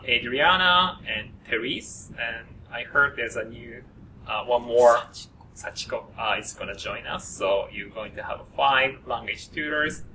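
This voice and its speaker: Halting man presenting from a distance. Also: mid-range voice, pushed and bright. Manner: halting, frequent uh fillers. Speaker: program coordinator at an orientation. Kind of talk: presenting to students. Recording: distant mic with faint noise.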